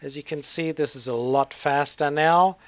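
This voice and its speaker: A man hosts a casual gameplay session in a fairly high-pitched voice. He thinks aloud in a rambling, hesitant way, feeling his way through each point. The sound is very dry, as if close to the microphone. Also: moderate pace, no room sound. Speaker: gaming channel host.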